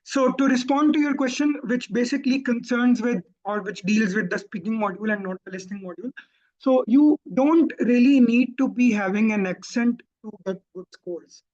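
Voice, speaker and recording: A man's voice: very high-pitched, moderate pace, a little mellow. A man with a Pakistani accent teaching exam skills in a webinar, explaining at length and often correcting himself. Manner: explanatory, repeats points and self-corrects. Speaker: IELTS tutor. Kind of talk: webinar teaching and answering questions. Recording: dull lo-fi online call audio.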